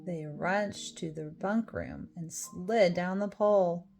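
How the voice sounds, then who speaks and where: Lady reading a kids rhyming storybook, slow and expressive, music in the background. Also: fairly low pitch, creaky. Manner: expressive, deliberate phrasing for children. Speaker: storybook reader. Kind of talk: rhyming children's story narration.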